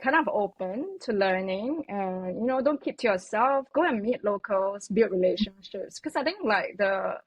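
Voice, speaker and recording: A woman talks casually as a podcast guest, her voice slightly husky. She chats loosely, sprinkling in "like" and "you know". The sound is dry and close. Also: medium pitch, expressive, normal speed, few pauses, no room sound, highs cut off. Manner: chatty, many fillers like "like" and "you know". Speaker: podcast guest, communications consultant. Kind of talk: casual interview chat.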